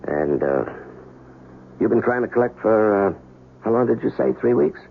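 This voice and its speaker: A tough, wary small-time crook in a vintage radio crime play. The voice is gravelly and swings expressively while staying terse and guarded. It comes through a thin, hissy old radio recording. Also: male voice, on the low side, lively intonation, normal speed, narrow, tinny audio. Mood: shrewd, probing. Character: wary small-time male fugitive crook from New York, shrewd.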